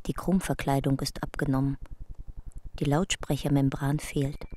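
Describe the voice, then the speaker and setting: A woman narrating a radio drama in a calm, measured reading. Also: low voice, a little hiss or hum.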